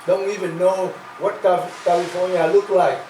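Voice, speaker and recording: An older man with a Vietnamese accent giving a halting after-dinner speech, full of fillers and restarts, with gentle self-deprecating humor. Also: high-pitched, flat delivery. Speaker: guest speaker. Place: large hall. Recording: echoey hall, far from mic, faint noise.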